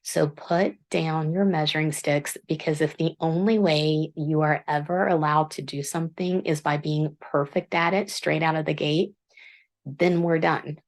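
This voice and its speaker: A woman author hosting her book channel, speaking in a low voice in an earnest, self-deprecating, measured monologue. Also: steady tone. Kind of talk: reflective scripted monologue.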